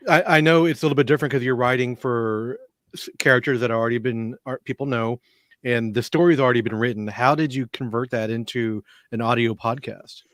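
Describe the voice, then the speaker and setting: A man hosting a live stream, asking interview questions in a halting way with restarts. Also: mid-range voice, fairly even intonation, fairly bright tone, no echo.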